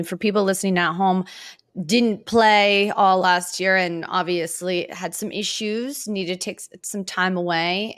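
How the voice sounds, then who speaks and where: A woman with a fairly low voice hosts a casual football interview, recorded dry with little room sound. She phrases things loosely and haltingly, thinking aloud as she works through her points and questions. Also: fairly bright tone, no echo. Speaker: sports podcast host.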